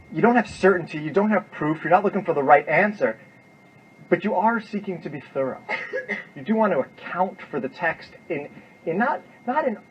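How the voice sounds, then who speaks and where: A man giving an informal, emphatic classroom lecture, repeating himself and often checking in with "right?". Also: fairly high pitch. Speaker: university lecturer. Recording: dull lo-fi classroom recording with noise.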